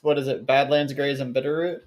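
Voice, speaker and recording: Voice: mid-range voice, steady tone, brisk, a little mellow. A tabletop roleplay player chatting casually and jokingly with the group during a game.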